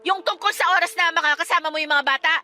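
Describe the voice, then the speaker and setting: A woman playing a defiant, sharp-tongued estranged wife and mother in a radio drama, sarcastic and combative. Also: very high-pitched, steady tone, racing, soft background music, heavily acted. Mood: irritated, dismissive. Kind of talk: Tagalog radio drama acting.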